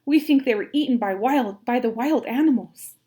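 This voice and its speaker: A woman reading a children's storybook, voicing a fearful fisherman who speaks in a grave, halting way. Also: a little high, warm tone, close to an everyday voice. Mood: fearful warning.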